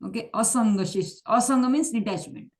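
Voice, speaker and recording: Woman, fairly high voice, teacher, repeats words, informal online class. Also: brisk, darkish tone. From India. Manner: mixes English and Hindi, repeats words, restarts, laughs.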